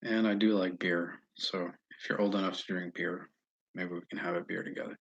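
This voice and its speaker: An American man chatting casually and haltingly on a livestream, often restarting his sentences as he thinks aloud. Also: sits low, fairly even intonation, gravelly, fairly bright tone, husky, dull audio. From Northeast United States, mostly Massachusetts. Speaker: livestream host answering viewer comments. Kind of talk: casual rambling livestream chat.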